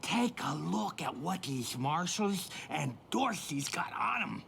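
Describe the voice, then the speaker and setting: An actor voicing a defiant, mocking thief in a video game. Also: male voice, fairly high pitch, big pitch swings, takes it slowly, creaky, fairly bright tone, a little hiss or hum, exaggerated voice. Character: cocky male thief with new guns.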